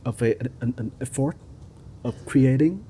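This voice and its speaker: A man speaks hesitantly as a guest on a podcast, filling his pauses with "like" and "um". His voice is mid-pitched and mellow, and his grammar runs loose. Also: breathy edge. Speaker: filmmaker, guest. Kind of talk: podcast interview.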